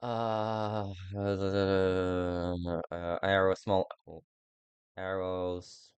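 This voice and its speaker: A man giving casual game playthrough commentary in a halting, self-deprecating way, swearing freely. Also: deep voice, monotone, clear, resonant tone. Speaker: game streamer.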